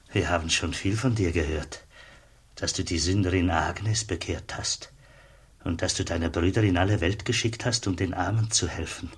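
Low, husky kindly customs man. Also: normal speed. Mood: awed. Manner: simple, friendly, familiar. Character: kindly, plain-spoken, devout border customs officer. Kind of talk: German radio drama acting.

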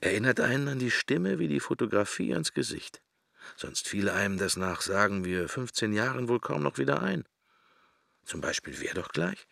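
A man's voice: fairly low pitch, sing-song, normal speed, creaky, a bit bright, no echo, clean studio sound, heavily acted. An actor playing a pensive middle-aged man in a radio drama, musing to himself wryly and morbidly in short, broken fragments. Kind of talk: German radio drama, interior monologue.

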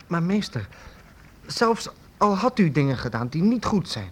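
A man's voice: on the high side, very animated, a little slow, a bit bright, husky, heavily acted. Een man speelt een trouwe, beleefde jonge leerling in een hoorspel, met een hese stem. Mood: eager. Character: loyal young male apprentice, eager and polite. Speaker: apprentice. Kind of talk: radio play acting.

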